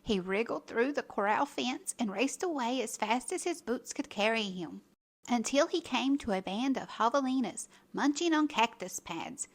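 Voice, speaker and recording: A woman reading a children's story aloud as a storyteller, with expressive, dramatic emphasis. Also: a little high, normal speed, a little hiss or hum.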